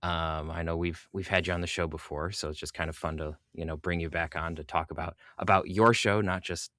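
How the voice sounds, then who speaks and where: Low-voiced man hosting a podcast interview. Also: steady tone, fairly quick, fairly bright tone, dry recording, clean studio sound. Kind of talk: casual interview chat.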